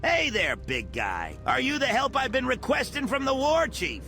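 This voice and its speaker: A man voicing a shady, excitable herb dealer in a fantasy game, with a creaky voice. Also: very high voice, normal speed, over music, exaggerated voice. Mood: wheedling. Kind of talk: video game character dialogue.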